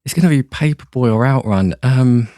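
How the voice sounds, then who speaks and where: A man's voice: mid-range voice, moderate pace, warm tone, dry recording, studio quality. A man chatting casually as a podcast guest, hesitant and rambling, often repeating words as he goes. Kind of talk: casual chat about films and games.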